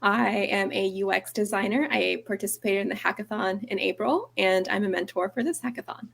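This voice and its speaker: A woman speaks on a video call with a strongly gravelly, creaky voice. She sounds brisk and matter-of-fact. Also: medium pitch, a bit bright. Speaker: UX designer and hackathon mentor. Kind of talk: self-introduction on a video call.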